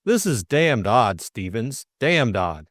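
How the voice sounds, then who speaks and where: A man playing a flippant, drowsy young gentleman of leisure in an audiobook, with a breezy, bemused and slightly hesitant manner. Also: mid-range voice, very animated, slow, dry recording, studio quality. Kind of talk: audiobook character acting.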